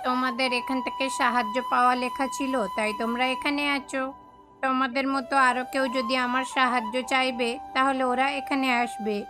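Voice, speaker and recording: A woman voicing a calm, wise male hermit crow who preaches in a cartoon moral story. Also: high-pitched, a little fast, music in the background, lightly acted. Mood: solemn.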